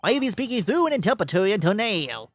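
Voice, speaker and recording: A streamer voicing formal, earnest courtroom gentlemen from a video game, with stilted courtesy. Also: medium pitch, big pitch swings, fast talker, soft background music, voice pushed up. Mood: flustered, deferential. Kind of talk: dramatic read of a video game script.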